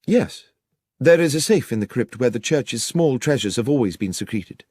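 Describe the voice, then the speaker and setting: A man's voice: medium pitch, lively intonation, normal speed, darkish tone, slightly rough, no echo, clean studio sound. A man voicing an anxious, courteous young country clergyman in an audiobook, speaking in articulate, polite, wordy sentences. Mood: anxious, explaining. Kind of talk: audiobook character dialogue.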